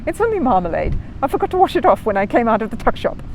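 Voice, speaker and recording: A woman's voice: a little high, very animated, fast, a little hiss or hum. A woman voicing a polite, earnest small male bear in a children's storybook. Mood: reassuring.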